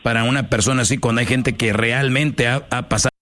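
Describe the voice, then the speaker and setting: A man's voice: medium pitch, fairly even intonation, a little fast, a bit bright. A man hosting a call-in talk show, speaking informally in a blunt, confrontational and slangy way. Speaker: talk show host taking calls.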